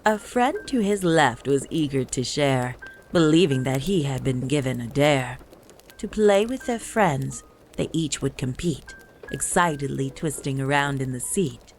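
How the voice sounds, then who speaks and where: A woman storyteller reading a rhyming Christmas poem in a measured, lilting delivery. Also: very deep voice, animated, a little mellow, a little hiss or hum. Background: music.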